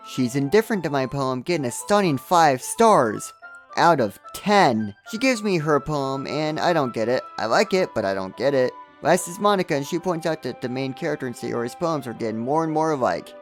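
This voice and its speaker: A man's voice: medium pitch, fairly bright tone. A man giving comedic scripted narration as a game reviewer, with sarcastic asides and exaggerated reactions. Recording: music under the voice. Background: background music.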